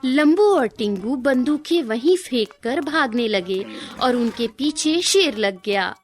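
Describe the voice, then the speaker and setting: Female narrator for kids' cartoon tales; high, lively, unhurried, with faint music behind. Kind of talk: scripted children's cartoon story narration.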